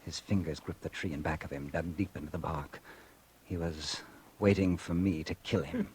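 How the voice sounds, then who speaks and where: A man's voice: normal speed, a little mellow, slightly rough. An actor playing a bitter, vengeful man who narrates his story in a 1940s radio drama. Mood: brooding. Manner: brooding first-person narration, low and confiding. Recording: old radio broadcast with music.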